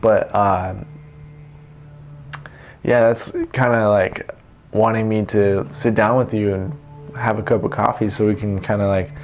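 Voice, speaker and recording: A young man giving casual, unscripted advice in an informal, halting way with trailing thoughts. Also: fairly low pitch, fairly even intonation, lo-fi sound. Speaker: vlogger giving self-help tips. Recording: noticeable background noise.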